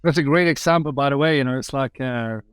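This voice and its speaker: A man's voice: medium pitch, fast talker, clean tone, no room sound, studio quality. A man hosting a podcast, enthusiastic and rambling, with lots of fillers. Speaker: podcast host. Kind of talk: casual podcast interview.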